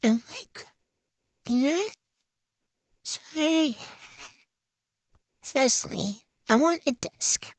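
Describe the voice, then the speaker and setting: A woman's voice: high-pitched, expressive, very slow, pauses often. A woman giving a casual, chatty show-and-tell voice-over in a hesitant, unscripted way that tends to trail off. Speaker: vlogger.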